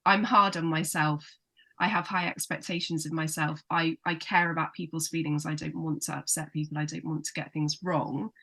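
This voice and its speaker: A woman psychotherapist, a guest on a podcast, explaining things warmly and sometimes acting out her inner critic. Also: low-pitched, level tone, moderate pace. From United Kingdom. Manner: warm, explanatory, acts out inner voices. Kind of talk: casual chat, explaining.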